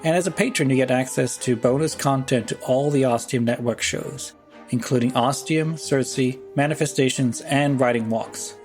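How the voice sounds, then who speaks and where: Guy doing podcast announcements, scripted, middle pitch, soft music behind. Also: normal speed. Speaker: podcast host. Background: faint music.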